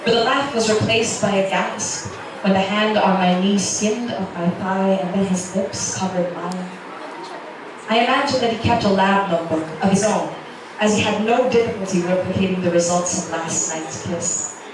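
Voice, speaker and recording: A woman's voice: mid-range voice, steady tone, moderate pace. Woman, romance narration, dramatic reading, very echoey, far from the mic, noisy recording. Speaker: narrator reading a romance novel excerpt aloud. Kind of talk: first-person dramatic literary reading. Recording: roomy echo, distant mic, noisy background.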